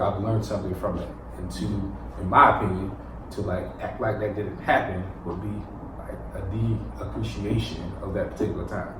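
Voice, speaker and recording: A man hosting a relaxed roundtable about relationships, animated and full of fillers, recorded from far off in an echoey room. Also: lowish voice, noisy background. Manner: animated, talks through laughs, many fillers. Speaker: host of a couples roundtable. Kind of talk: casual group discussion. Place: indoor room with a group around a table.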